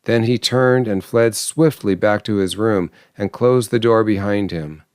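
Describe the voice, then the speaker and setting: Male audiobook narrator, clean resonant low voice, dramatic literary storytelling, recorded close and dry. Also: measured pace, a little mellow, smooth, no echo.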